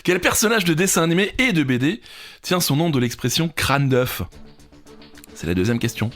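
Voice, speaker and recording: Guy quiz co-host, playful, really animated pitch, reads trivia, bit of music around. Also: moderate pace. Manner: playful, agreeable. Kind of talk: casual quiz chat with read trivia.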